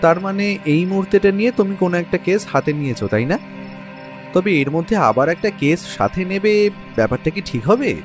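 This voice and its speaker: An actor playing a detective's curious, watchful companion, narrating the case in the first person. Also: male voice, high-pitched, expressive, fairly quick, over music. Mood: questioning.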